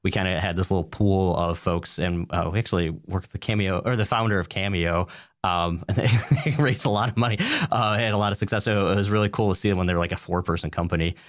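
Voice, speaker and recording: An American man with a deep voice talks as an interview guest. His answers are casual and wandering, padded with small filler phrases. The sound is dry, with little room around it. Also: steady tone, fast, dry recording. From United States, upstate New York. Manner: rambling, peppered with "you know" and "like".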